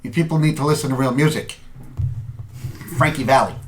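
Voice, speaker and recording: A man voicing a gruff, suspicious working dad in a tabletop game, blunt, colloquial and scolding. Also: mid-range voice, brisk, lightly acted. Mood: suspicious, grumbling. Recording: echoey room, background noise.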